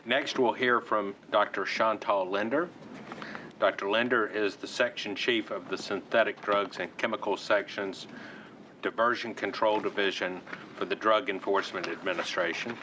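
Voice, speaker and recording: A man chairing a hearing, introducing witnesses in a brisk, procedural way. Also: lowish voice, steady tone, sharp tone. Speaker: commission chair. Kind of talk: formal introductions. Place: hearing room. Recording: noisy hearing room recording.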